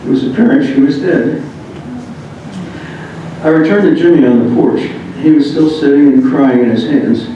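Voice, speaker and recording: A man reads a written first-person memoir aloud, halting at times. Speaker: reader of a memoir. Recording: muffled, very echoey room, distant mic, rumbling noise.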